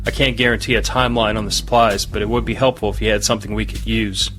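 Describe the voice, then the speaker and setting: A man playing a gruff, businesslike army major in a dystopian audio drama. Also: lowish voice, steady tone, quick pace, fairly bright tone, faint music behind, close to an everyday voice.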